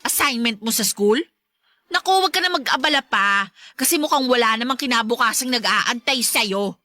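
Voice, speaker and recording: Spiteful, high-voiced taunting stepmother. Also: moderate pace, pushed and bright, dry recording, studio quality. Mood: mocking. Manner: mocking, catty, melodramatic. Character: spiteful stepmother, sarcastic, taunting, playing innocent. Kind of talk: Tagalog radio drama acting.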